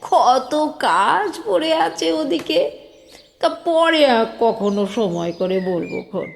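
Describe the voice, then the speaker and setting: A woman playing an elderly, tradition-bound mother-in-law of a landed house in an audio drama, gentle and measured, with coaxing tag questions. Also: a little high, sing-song, gravelly, a little hiss or hum, heavily acted. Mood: busy, affectionate. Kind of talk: Bengali audio drama acting. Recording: long reverb, music bed underneath.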